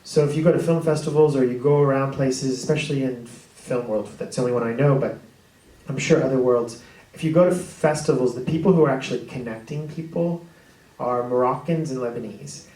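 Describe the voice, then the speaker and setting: A man, a film director, telling behind-the-scenes stories on a stage with a handheld mic, rambling and animated. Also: mid-range voice, fairly quick, distant mic. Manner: rambling, animated, gestures a lot. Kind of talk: storytelling in a panel talk. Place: hall with audience. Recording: echoey hall taped from afar.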